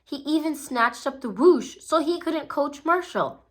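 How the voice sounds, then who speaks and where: A woman's voice: sits high, a little slow. Woman reading a kids' storybook aloud. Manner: gentle, steady storytelling with small slips. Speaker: storybook reader. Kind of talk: children's read-aloud narration.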